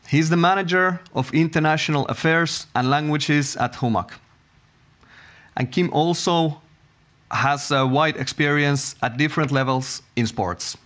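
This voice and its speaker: A man's voice: fairly high pitch, fairly bright tone. Man formally opening a panel. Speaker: moderator.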